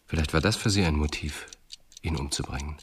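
Low-voiced, probing police detective. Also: male voice, a little hiss or hum. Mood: probing, insistent. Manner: measured, insistent questioning. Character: probing, composed male police detective questioning suspects. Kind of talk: German radio crime drama acting.

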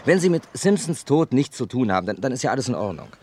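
A man acting a wry first-person narrator and protagonist in an old German radio crime play, measured when telling, hesitant when protesting. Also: mid-range voice, sing-song, darkish tone, lightly acted. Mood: urging, concerned. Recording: old radio play, faint background noise.

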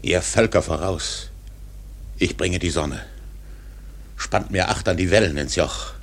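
Proud old ship's captain: deep, unhurried, imperious and grandiose, for literary radio drama. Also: male voice, creaky, heavily acted. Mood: exultant, grand. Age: older. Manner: grandiose, commanding. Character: proud, defiant old male whaling ship captain.

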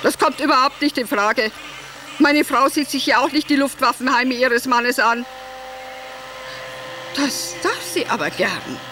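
An actress playing a hysterical, devoted Nazi-era wife and vain former stage star in a theatrical monologue, declaiming with drawn-out, melodramatic phrasing. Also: female voice, a little high, noisy background. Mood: indignant.

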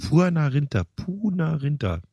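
Husky, lively podcast host, dry close sound. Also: male voice, on the high side, moderate pace, no echo. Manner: laughs often, stumbles and repeats words. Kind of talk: casual chat.

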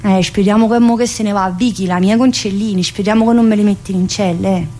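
A woman's voice: mid-range voice, steady tone, fairly quick, mellow, slight background noise, close to an everyday voice. An actress playing a talkative, earthy woman inmate in a theatrical monologue, rambling colloquially with a central-southern Italian accent. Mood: grumbling. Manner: colloquial, rambling, full of interjections.

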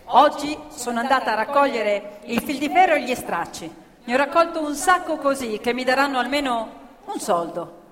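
Casting: scrappy working-class girl, matter-of-fact, higher pitched, radio theatre in a reverberant hall. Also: lively intonation, moderate pace, crowd noise. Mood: proud, defensive. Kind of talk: live stage radio theatre dialogue. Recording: hall reverb, live stage performance.

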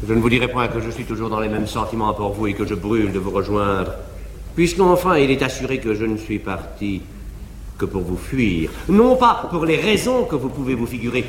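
A man's voice: lowish voice, lightly acted. A man playing a cynical, mock-pious noble seducer. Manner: smooth, mock-solemn declaiming. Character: cynical aristocratic seducer feigning piety. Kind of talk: classical verse-drama acting, live theatre. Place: large theatre stage. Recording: old live stage recording, echoey hall, hiss.

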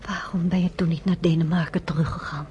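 A gentle American woman in a radio drama, voiced by an actress, asking quiet, careful questions. Her voice sits on the lower side, with a faint rumble and hiss underneath. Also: steady tone, fast talker, lo-fi sound, lightly acted. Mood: hushed.

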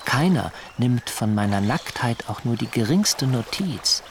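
An actor playing an earnest, dreamy young idealist planter in a reflective, deliberate radio drama monologue. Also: male voice, mid-range voice, lively intonation, slight background noise, close to an everyday voice. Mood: serene, content. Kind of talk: introspective first-person radio drama monologue.

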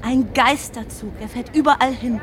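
A woman's voice: a little high, steady tone, normal speed, crowd noise, natural voice. An actress playing an agitated, foul-mouthed young woman backpacker on the run, urgent and defiant, in a radio drama. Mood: mysterious, insistent. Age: young adult. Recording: noisy train ambience, people around.

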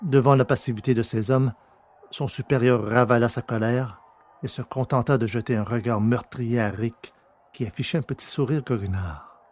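A man with a slightly husky voice reading scripted fiction as an audiobook narrator. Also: mid-range voice, moderate pace, darkish tone.

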